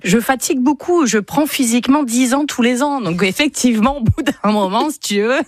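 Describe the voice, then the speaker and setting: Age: 40s. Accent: Picard French. Sex: woman. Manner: relaxed, chatty radio guest. Sound: dry studio mic. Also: on the high side, a little fast, no room sound. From Picardy, northern France. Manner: chatty, relaxed, frequent fillers. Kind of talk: casual interview chat.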